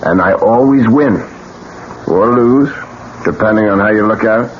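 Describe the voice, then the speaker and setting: An actor playing a bitter, reckless painter with a death wish, sardonic and theatrical, in a 1970s radio drama. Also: male voice, on the low side, moderate pace, natural voice. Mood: morbid, bitter. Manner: sardonic, theatrical, swinging delivery. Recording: muffled, noisy 1970s radio drama recording.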